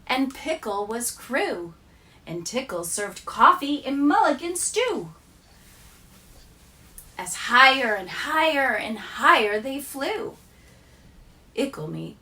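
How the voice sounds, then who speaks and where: A woman teacher reading children's poems aloud with a deliberate, expressive storytelling delivery. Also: a little high, distant mic.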